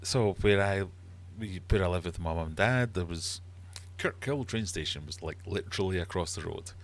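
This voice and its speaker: A man's voice: sits low, expressive, moderate pace. A middle-aged man with a Glaswegian Scottish accent, chatting casually and ramblingly as he hosts a livestream. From Glasgow, Scotland. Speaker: livestream host and quizmaster. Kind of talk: casual live chat, reading viewer comments.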